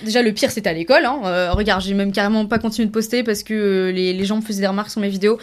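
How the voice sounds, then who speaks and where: A woman's voice: medium pitch, steady tone, very fast. A young woman chatting casually on a podcast as a content creator, informal, slangy and encouraging. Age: young adult. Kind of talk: casual chat.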